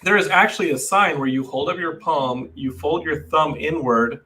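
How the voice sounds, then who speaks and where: A man from Texas hosts a casual podcast over a video call. His voice is bright and a little high, and he jokes, rambles and restarts his sentences. The talk stays loose and animated throughout. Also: normal speed. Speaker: podcast host. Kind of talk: casual chat.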